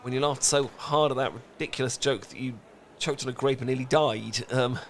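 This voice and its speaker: A British man acting a flustered, emotionally repressed and lovesick best friend in a comedic romantic audio roleplay, stammering and pleading in halting phrases. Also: mid-range voice, a little hiss or hum, lightly acted. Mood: tender, confessional.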